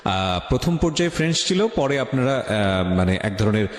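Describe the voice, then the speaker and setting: A man's voice: medium pitch, big pitch swings, moderate pace. A man with a Bangladeshi Bengali accent hosting a radio show, commenting to listeners in a dramatic, emphatic way. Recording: echoey studio sound, long reverb.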